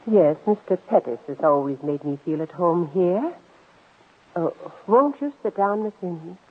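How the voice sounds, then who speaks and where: Cold scheming woman, commanding, clipped, husky, tinny vintage radio drama recording. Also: fairly low pitch, lively intonation, measured pace. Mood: polite, guarded. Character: cold, controlling woman scheming in a murder plot. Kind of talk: 1940s radio drama acting. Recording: tinny, muffled old-time radio recording.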